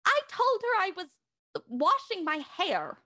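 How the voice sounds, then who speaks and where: A woman's voice: extremely high pitch, wildly swooping pitch, a little slow, bright, forceful tone, dry recording, heavily acted. A young woman playing a spoiled, dramatic socialite in an audio drama. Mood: coy, uneasy. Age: young adult. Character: spoiled, indignant young actress playing a secretive socialite house guest.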